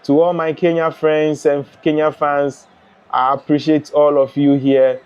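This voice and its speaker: A young man from Ghana hosting a live football watch-along, chatting and reading out viewer comments in a relaxed way. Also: fairly high pitch, measured pace, clear, resonant tone, highs cut off. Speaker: live stream host.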